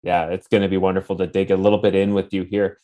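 A man hosting an interview podcast, introducing his guest earnestly and warmly, with fillers and restarts. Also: low-pitched, little pitch movement, fast talker. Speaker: podcast host.

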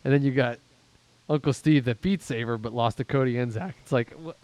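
A man from Pittsburgh hosts a casual podcast about his fantasy league, with a mid-range voice. He rambles, thinks out loud and pokes fun at his own losing season. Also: mid-range voice, a little fast, no echo. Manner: rambling, self-deprecating, thinks aloud. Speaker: podcast host and league commissioner.

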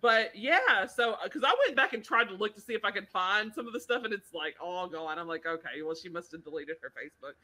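Lively bright Southern woman chatting. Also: medium pitch, fairly quick, hardly any pauses. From American South. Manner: lively, rambling recollection. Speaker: podcast co-host. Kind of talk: casual chat.

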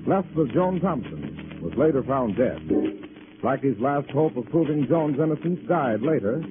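Music plays behind a man as an old-time radio narrator. His mid-pitched voice is formal and declarative, recapping in earnest, with the thin sound of a vintage broadcast. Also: big pitch swings, moderate pace, narrow, tinny audio, lightly acted. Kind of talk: 1940s radio scripted narration.

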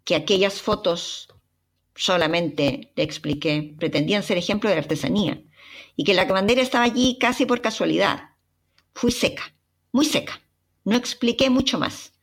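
A young Chilean woman with a Chilean accent and a breathy voice, dramatically telling a personal travel story as a podcast narrator. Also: mid-range voice, normal speed. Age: young adult. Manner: expressive, dramatic retelling with sighs and exclamations.

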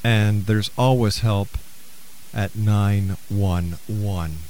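A man hosting a radio talk show, speaking in a deliberate, earnest way. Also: fairly low pitch, fairly even intonation, a little mellow, a little hiss or hum, poor audio. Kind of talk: radio interview and show announcements.

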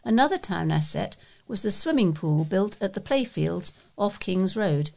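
Creaky-voiced woman reading local news. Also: medium pitch, lively intonation, unhurried, warm tone. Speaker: volunteer newsreader for a talking newspaper. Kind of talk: read-aloud scripted local news.

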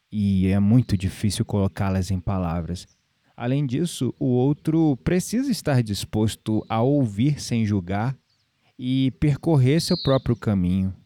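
A calm, reflective Brazilian man of the countryside in an audio series, acted by a man. His voice is low and very mellow, with a gently teasing, spiritual manner. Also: no room sound. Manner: gently playful, reflective, philosophical. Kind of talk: audio drama acting.

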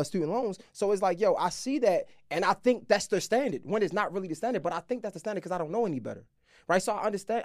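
Man, thirties, slightly high voice, dry close sound, slangy conversational interviewer, repetitive phrasing. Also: darkish tone, dry recording. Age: 30s. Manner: repeats words while forming his points. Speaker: podcast interviewer.

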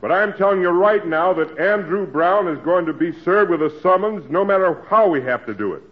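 An actor playing a suspicious, no-nonsense process server who questions bluntly in a 1940s radio comedy. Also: male voice, high-pitched, lively intonation, brisk, a little hiss or hum. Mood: exasperated. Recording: dull old radio recording.

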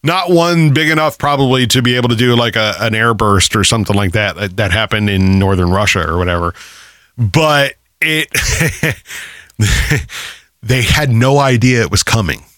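A man's voice: medium pitch, a bit bright, no echo. Dry-voiced man in loose, profane chat. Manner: loose, profane, drawn-out delivery. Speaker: podcast co-host. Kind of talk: casual chat.

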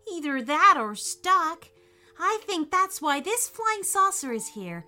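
Woman as an enthusiastic high-voiced storybook boy. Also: takes it slowly, full character voice. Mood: thoughtful, excited. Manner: excited, reassuring. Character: enthusiastic, kindly, adventurous young boy. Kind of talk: children's storybook character voice.

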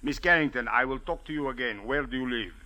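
An actor playing a calm, courteous police captain in 1940s radio drama style, measured and authoritative. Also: male voice, medium pitch.

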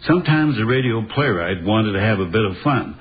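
Man, radio playwright, lowish husky voice, wry scripted introduction, slightly muffled radio sound. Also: animated, fairly quick, soft background music. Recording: slightly dull radio recording.

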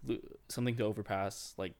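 A man in his late teens, born in Canada, chatting as a podcast guest in a relaxed, rambling way. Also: fairly low pitch, quick pace. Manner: relaxed, rambling, lots of fillers and restarts. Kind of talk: casual chat.

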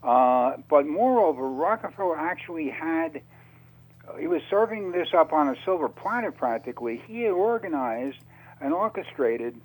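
A man speaking as a radio interview guest, telling his account in a halting, conversational way with repeated words. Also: fairly high pitch, lively intonation, low-quality recording. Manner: halting, hesitant phrasing with repetitions.

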